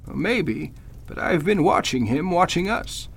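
Man acting high-voiced, gravelly older judge. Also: animated, unhurried, exaggerated voice. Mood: suspicious, urgent. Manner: earnest, old-fashioned formal speech. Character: genial but suspicious veteran male judge, gentlemanly. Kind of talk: old-time radio drama acting.

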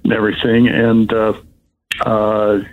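An older man, sixties or above, giving an unscripted, rambling personal account as a caller, in a halting way. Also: lowish voice, completely flat delivery, phone-quality sound, a little hiss or hum. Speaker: caller telling of being scammed.

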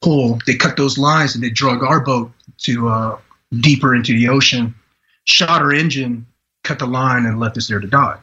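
A man in his forties from Vietnam, a podcast guest telling his life story in an earnest, halting way with many restarts. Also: mid-range voice, bright, forceful tone, highs cut off. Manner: earnest, halting delivery with frequent "you know" restarts. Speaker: podcast guest, retired Green Beret. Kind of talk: personal storytelling in a podcast interview.

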